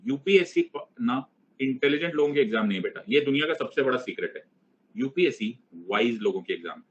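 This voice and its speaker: A man's voice: medium pitch, lively intonation, a little fast, dull audio. A middle-aged man teaching an online exam-prep class, playful and teasing as he switches between Hindi and English. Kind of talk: live online class lecture.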